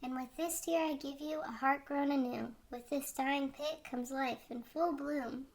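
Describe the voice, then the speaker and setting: A woman playing a gentle, consoling spirit of flowers and spring in a puppet film, speaking solemn, tender verse. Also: sits high, steady tone, measured pace.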